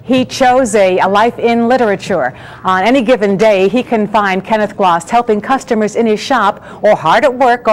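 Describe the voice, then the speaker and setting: A woman presenting and interviewing as a talk show host, with polished, articulate delivery. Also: mid-range voice. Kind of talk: scripted introductions and interview questions.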